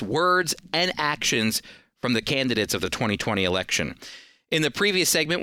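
A man's voice: medium pitch, bright, forceful tone, clean tone, no room sound, studio quality. An American man hosting a radio program, delivering prepared commentary in a talk show style.

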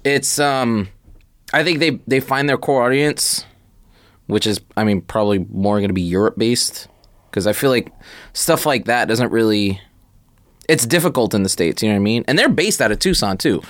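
A man's voice: medium pitch, expressive, moderate pace, a bit bright, dry recording, studio quality. A man in casual podcast conversation, speaking informally and swearing offhandedly. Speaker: podcast co-host or guest. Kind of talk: casual sneaker podcast chat.

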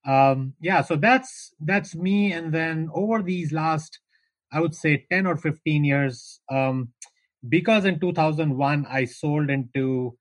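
A man's voice: on the high side, slow, no room sound, poor audio. A middle-aged man from India with an Indian accent, telling his career story at length as a guest in a podcast interview, with frequent restarts. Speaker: guest, founder of an insurance tech business.

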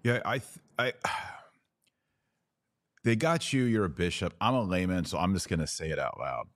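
A man's voice: low-pitched, animated, measured pace. An American man hosting a podcast, talking candidly and conversationally with emphatic lists and repetitions. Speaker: podcast host.

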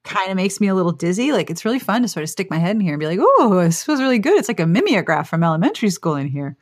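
A woman's voice: mid-range voice, very animated, quick pace. A woman hosting a casual interview podcast, chatting in a self-deprecating, jokey way and acting out little exchanges with herself, recorded close on a microphone. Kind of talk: casual conversational interview chat. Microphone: close microphone inside a foam-lined box.

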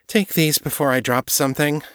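A man's voice: fairly high pitch, fairly quick, fairly bright tone, no room sound, studio quality, voice pushed up, lightly acted. A man voicing a blunt, frustrated young woman police detective who speaks glumly. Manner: blunt and glum.

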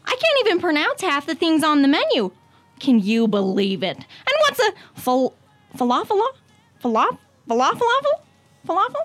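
Young woman doing a nosy american tourist lady, high pitch, lively swoops, rambling. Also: moderate pace, full character voice. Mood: nosy, gushing.

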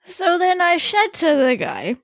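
A woman plays a drunk fantasy partygoer who would rather be elsewhere. The voice is high and swings widely, with a shaky fake British accent and exaggerated tipsy rambling, recorded dry and close in a fan-fiction reading. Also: big pitch swings, unhurried, no room sound, heavily acted. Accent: dodgy put-on British. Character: drunk, party-averse fantasy fanfic character Vex. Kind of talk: amateur fan-fiction read-aloud.

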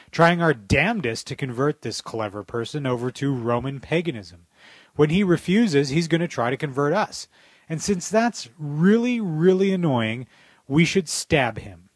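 A man's voice: fairly high pitch, no echo. A man co-hosting a podcast, talking in a wry, sardonic, emphatic way. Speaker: podcast co-host. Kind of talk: scripted opinion monologue and casual podcast chat.

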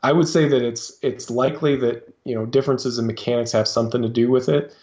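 A man's voice: medium pitch, fairly even intonation, fast talker, darkish tone. A man speaking as a guest coach in a podcast interview, hesitant, with many fillers and restarts. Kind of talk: casual interview talk. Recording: slightly dull lo-fi sound.

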